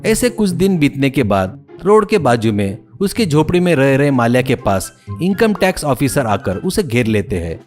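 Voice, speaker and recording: Animated male cartoon story narrator. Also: medium pitch, normal speed, few pauses, soft background music.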